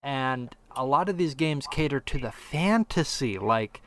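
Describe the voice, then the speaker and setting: A man's voice: medium pitch, expressive, a little slow, fairly bright tone, slight background noise. A man hosting a casual podcast discussion, posing questions and often searching for his words. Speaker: podcast host asking questions.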